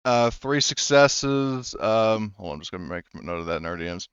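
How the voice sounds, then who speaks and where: Smooth-voiced man as game master. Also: lowish voice, lively intonation, brisk, fairly bright tone, dry recording, highs cut off. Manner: loose, filler-heavy. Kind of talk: running a tabletop game.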